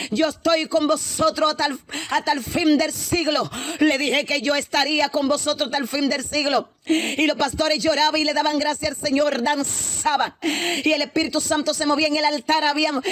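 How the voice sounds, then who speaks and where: A woman's voice: high voice, fairly bright tone, no echo, studio quality. A woman preacher recounting a prophetic vision in a fervent, repetitive Pentecostal storytelling style, breaking in with exclamations of praise. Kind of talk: Pentecostal testimony sermon, storytelling with interjected hallelujahs.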